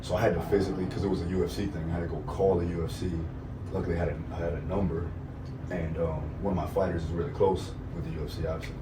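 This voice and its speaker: A man in his thirties from Florida, an MMA coach chatting as a podcast guest, relaxed and rambling with lots of fillers. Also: sits low, very fast. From Florida, USA. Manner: relaxed, rambling, laughs often, many fillers. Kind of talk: casual interview chat. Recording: echoey room, far from mic, noisy.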